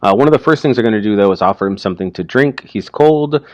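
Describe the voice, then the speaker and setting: A man teaching through informal, explanatory commentary on a story, speaking haltingly as he thinks aloud. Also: fairly low pitch, lively intonation, no room sound, muffled recording.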